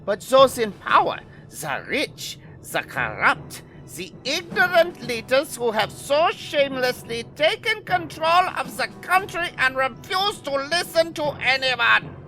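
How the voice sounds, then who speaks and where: A man's voice: very high-pitched, sing-song, measured pace, few pauses, pushed and bright, full character voice, low-quality recording. A man playing a pompous, aggrieved mad scientist villain in an audio drama, with grandiose theatrical delivery. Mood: indignant.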